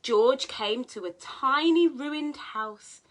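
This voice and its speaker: A woman reading a storybook aloud as a mother to her young child, in an expressive, sing-song way. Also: high voice, takes it slowly, a little hiss or hum.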